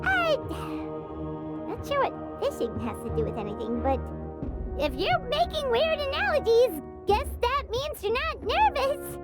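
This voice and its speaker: Video game fairy sidekick: very high childlike squeak, lively swoops, eager and devoted, music behind. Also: female voice, measured pace, full character voice. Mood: touched, resolute. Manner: speaks of herself in the third person, exclamatory. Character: small childlike fairy companion, excitable, earnest, loyal. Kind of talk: video game character acting.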